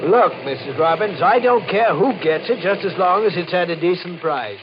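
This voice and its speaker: Blunt, lively radio-play estate agent. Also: male voice, high-pitched, moderate pace. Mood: brusque, impatient. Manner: brusque, matter-of-fact. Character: blunt, skeptical, money-minded male estate agent. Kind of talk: old-time radio horror acting. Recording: noisy, muffled old radio recording.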